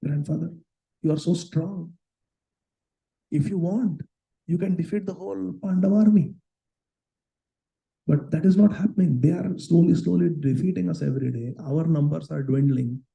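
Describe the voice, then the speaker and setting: A man's voice: fairly high pitch, moderate pace, vocal fry, pauses often, warm tone, voice pushed up, low-quality recording. A man voicing a frustrated, scheming prince who pleads, insults and wheedles. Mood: pleading. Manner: pleading, then pushy and wheedling.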